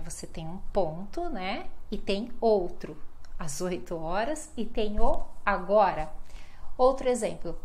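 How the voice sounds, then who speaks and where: Brazilian woman, language teacher, slow and patient delivery, mid pitch, online lesson. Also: a little mellow. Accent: Brazilian Portuguese. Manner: patient, repeats example sentences slowly. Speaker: Portuguese teacher.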